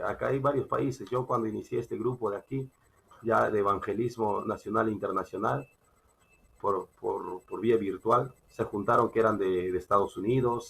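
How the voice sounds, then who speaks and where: Gravelly, husky Peruvian male preacher. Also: on the low side, a bit bright, rough recording. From Peru. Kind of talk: sermon with Bible reading and personal testimony.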